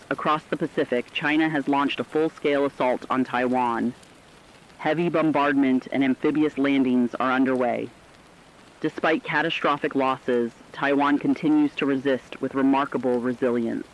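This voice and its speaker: A man playing a sombre radio war correspondent, delivering a scripted news bulletin in a solemn, dramatic, deliberate way. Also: medium pitch, natural voice. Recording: dull lo-fi radio sound, faint noise.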